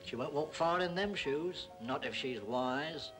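A man's voice: fairly high pitch, measured pace, close to an everyday voice. Wry English working man at the bar, acted. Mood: amused, leering. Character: wry, sceptical working-class male pub regular. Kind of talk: 1940s British film acting. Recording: old 1940s film soundtrack with music.

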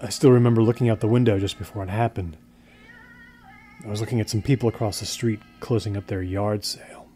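A man's voice: fairly low pitch, steady tone, brisk, warm tone, close to an everyday voice. An actor playing a serious, troubled man obsessed with the supernatural, speaking plainly and hesitantly in an understated audio drama. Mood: haunted, serious.

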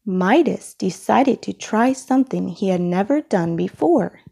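A woman reading a children's picture book aloud in a deliberate, expressive storytelling manner. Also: medium pitch, creaky, husky. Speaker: storybook reader.

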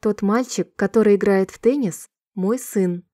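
Mellow woman reading slow drill sentences. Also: mid-range voice. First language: Russian. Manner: clear, measured, evenly paced repetition. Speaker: Russian sentence reader.

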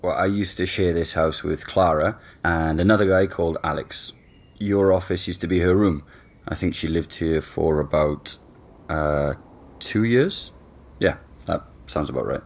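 Audio drama: laid-back young man, deep, halting, wry. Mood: casual. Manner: relaxed, joking, hesitant with fillers. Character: easygoing young man, boyfriend who owns the house.

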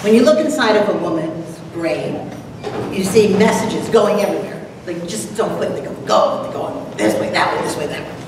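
A woman's voice: big pitch swings, normal speed. A middle-aged or older woman speaking to an audience, telling a personal anecdote before a reading. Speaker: speaker and dramatic reader. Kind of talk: introductory talk with personal anecdote. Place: large lecture room. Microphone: distant camera mic. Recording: very echoey hall, distant, noisy rumble.

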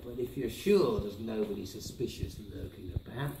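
A man's voice: lowish voice, moderate pace, vocal fry, a crowd in the background. Man playing a bossy pantomime robber chief. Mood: mock menacing. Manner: bossy, hammy, colloquial. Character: bossy, comic robber gang leader with menacing swagger. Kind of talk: amateur pantomime acting. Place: village hall stage, indoors. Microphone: distant audience camera. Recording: echoey village hall, distant, loud noise.

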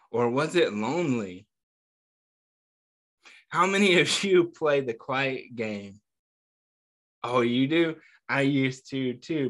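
A man reading a picture book aloud to children, pausing to ask them gentle questions between passages. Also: on the high side. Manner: slow, pausing reading with small slips. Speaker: children's storyteller. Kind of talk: read-aloud storytime.

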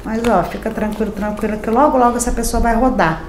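A woman's voice: a little high, fairly even intonation, fast. Chatty Brazilian woman reading tarot, echoey room. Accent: Brazilian Portuguese. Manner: chatty and emphatic, addressing viewers directly with tag questions. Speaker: tarot reader. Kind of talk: daily horoscope card reading to camera. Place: small decorated home studio room. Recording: echoey room with faint ambient noise.

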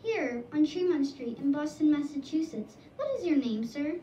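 A woman's voice: sits high, normal speed, mellow, dull audio, a little hiss or hum, close to an everyday voice. A woman playing an eager on-scene reporter. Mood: inquisitive. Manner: inquisitive, presenting. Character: eager female news reporter. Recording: echoey room from far off.

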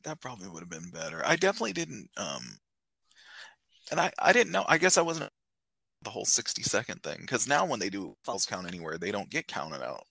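Male co-host, low gravelly husky voice, lively pitch, quick agreeing replies. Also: no echo. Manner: short agreeing replies, laughs along. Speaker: podcast co-host. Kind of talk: casual wrestling review chat.

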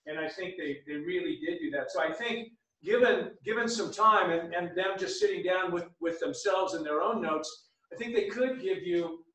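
High, bright-voiced man in echoey theatre. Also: moderate pace. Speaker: play director. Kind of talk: informal remarks at a post-show talkback. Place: small theatre stage. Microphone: distant camera. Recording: echoey theatre stage from far off.

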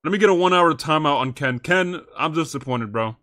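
A man's voice: fairly high pitch, animated, fairly quick, pushed and bright. A man streaming and reacting to game clips in casual, laid-back talk, swearing freely.

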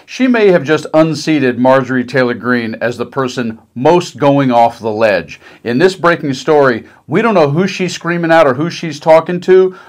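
A middle-aged man giving sarcastic, mocking political commentary in a conversational way. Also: medium pitch. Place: small decorated room indoors.

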